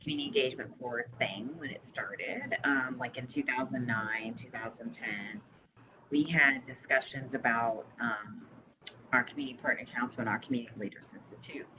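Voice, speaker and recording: Woman, very low pitch, casual interviewee, conversational, informal phrasing, dull phone audio, background noise. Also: steady tone, normal speed. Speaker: interviewee, community engagement program staffer. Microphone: phone line. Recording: muffled lo-fi phone call, clear noise.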